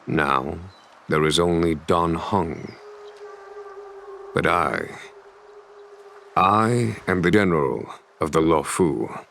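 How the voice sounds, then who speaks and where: Deep, slow, stately fantasy general. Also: male voice, gravelly, a bit bright, exaggerated voice. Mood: firm, regretful. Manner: measured, formal, eloquent. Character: composed, stately male general of a fantasy realm. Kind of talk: dramatic scripted game dialogue. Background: background music.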